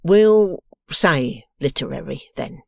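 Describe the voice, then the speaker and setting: Brief: a smug, ingratiating man with a slow, courteous delivery, dry close sound, put on by a reader. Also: mid-range voice, lively intonation, vocal fry, no room sound. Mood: obsequious. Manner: slow, polite, sir-peppered. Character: deferential, self-satisfied man with a wooden leg. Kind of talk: audiobook character reading.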